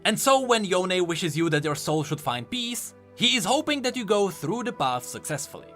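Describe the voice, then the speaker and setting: Bright, very animated man explaining game lore. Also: high voice, normal speed, faint music behind. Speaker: video game lore commentator. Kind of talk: enthusiastic explanatory commentary.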